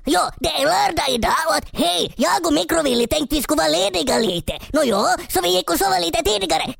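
A man voicing a gravelly, nagging gut bacterium. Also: very high voice, lively intonation, fairly bright tone, no echo, studio quality, heavily acted. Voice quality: nagging, complaining. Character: gut bacterium, fussy, put-upon worker.

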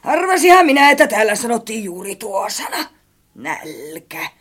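A man's voice: sits high, very animated, bright, forceful tone, pitched above the performer's own voice, heavily acted. A man voicing a talking cookbook, a pompous, theatrical gourmet snob who is easily offended, in an audiobook story. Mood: grumbling, resigned.

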